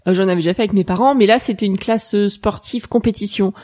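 A woman's voice: mid-range voice, quick pace, darkish tone. A woman in her thirties from Haute-Savoie in France, telling her story casually and modestly as an interview guest. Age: 30s. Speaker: interview guest, mountain guide and rescuer.

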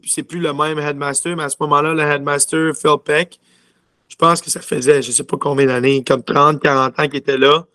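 A man's voice: on the high side, a bit bright, clean tone, no room sound, studio quality. A young man from Quebec with a Quebec French accent, chatting casually as an interview guest and rambling with lots of filler words. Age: young adult. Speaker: interview guest, junior hockey player.

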